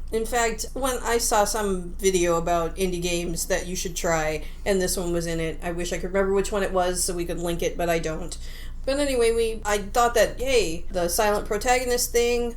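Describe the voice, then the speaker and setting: A woman co-hosting a podcast about video games, chatting casually in an animated, giggly, self-interrupting way. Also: medium pitch. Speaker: podcast co-host.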